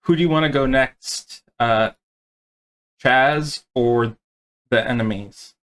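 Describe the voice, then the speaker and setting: A man acting as game master, explaining rules and narrating tabletop play in a halting, unscripted way. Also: mid-range voice, fairly bright tone, clear, resonant tone.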